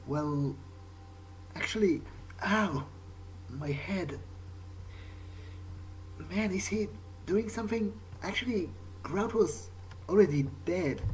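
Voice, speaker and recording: A man's voice: sits high, lively intonation, takes it slowly, pauses often, a little hiss or hum, rough recording. A man improvising in character during a video game playthrough, casual, hesitant and wry. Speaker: let's play gamer speaking in character.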